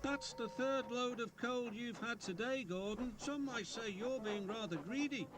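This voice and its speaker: A man's voice: very high voice, a little slow, pitched above the performer's own voice. A man voicing a prim, scolding character from a children's railway storybook. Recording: music clearly behind the voice.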